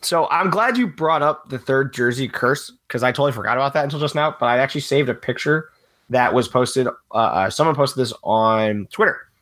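A man co-hosting a sports podcast while he has a cold, sounding a little raspy, talking in a rambling, opinionated way and laughing often. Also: mid-range voice, fairly quick, fairly bright tone. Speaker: regular podcast co-host. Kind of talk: casual sports podcast chat.

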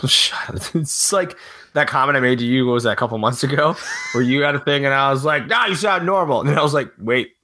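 A man co-hosting a sports podcast while he has a cold, sounding a little raspy, talking in a rambling, opinionated way and laughing often. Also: medium pitch, a little fast, sharp tone. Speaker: regular podcast co-host. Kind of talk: casual sports podcast chat.

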